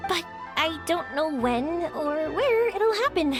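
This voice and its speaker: A young, adventurous firework maker from a video game. The voice is high and swings animatedly, friendly, chatty and full of enthusiasm, with faint music underneath. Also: female voice, very animated, takes it slowly, heavily acted. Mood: bubbly, carefree.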